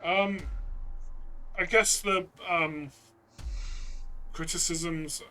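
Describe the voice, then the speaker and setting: Guy co-reviewing a game, chatty, really bright forceful voice, bit of music behind. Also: a little high, fairly even intonation, extremely slow. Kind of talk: conversational review discussion.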